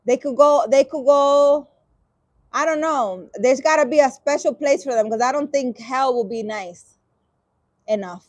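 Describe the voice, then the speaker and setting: Spiritual vlogger, woman, higher register, dry close sound; reads aloud, reacts with sarcastic exasperation, halting and profane. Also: dry recording. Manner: halting, restarting sentences. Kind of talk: reading a dream interpretation aloud and commenting.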